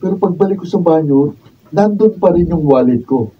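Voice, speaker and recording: An actor playing a mild, unlucky young boyfriend, hesitant and subdued, in a Filipino radio drama. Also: male voice, fairly high pitch, expressive, moderate pace, slightly rough. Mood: troubled, hesitant. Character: Darius, mild, hapless young boyfriend down on luck. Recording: distant, dull raw studio video sound.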